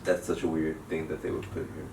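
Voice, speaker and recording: A man's voice: a bass voice, monotone, fast, vocal fry, warm tone. A man co-hosting a podcast in casual chat, rambling and joking and laughing easily. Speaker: podcast co-host. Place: home studio room. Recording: echoey room, mic far off, background noise.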